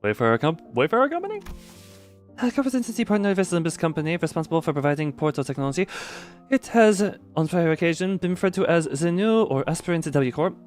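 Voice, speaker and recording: A man streaming a game, giving casual, rambling live commentary with animated reactions. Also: a little high, fast, faint music behind.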